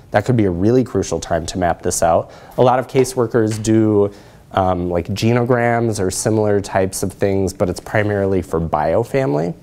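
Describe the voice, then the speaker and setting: A man's voice: on the low side, dark tone. Man lecturing, American accent. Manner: explanatory, checks in with listeners. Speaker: lecturer presenting research. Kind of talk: conference presentation with audience questions. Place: lecture room, indoors.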